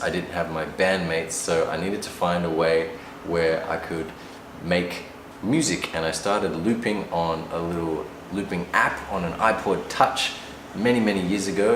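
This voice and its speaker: A man from Australia, a musician, talking casually about his life in a relaxed, wry, self-deprecating way. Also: deep voice. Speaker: musician interviewee. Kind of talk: casual storytelling to camera. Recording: roomy echo with background noise.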